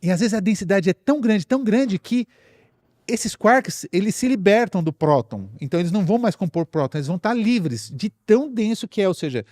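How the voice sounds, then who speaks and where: A Brazilian man with a fairly high voice talks as a guest science expert. He explains casually, building ideas step by step and repeating phrases for emphasis. The sound is close and dry. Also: animated, fairly quick, a little mellow, no echo.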